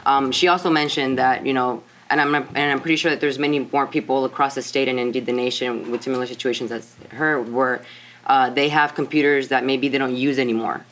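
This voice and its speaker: A man's voice: mid-range voice, steady tone, brisk, fairly bright tone. Earnest teen boy answering questions. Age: teens. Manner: earnest, wordy, filler-heavy. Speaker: student presenter.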